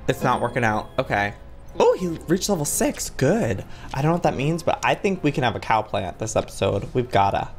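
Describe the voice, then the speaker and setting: A man in his twenties commentates a video game with lively, swinging pitch. He is playful and excitable, reacting in an exaggerated way. Music plays behind his voice. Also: fairly quick. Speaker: gaming video host.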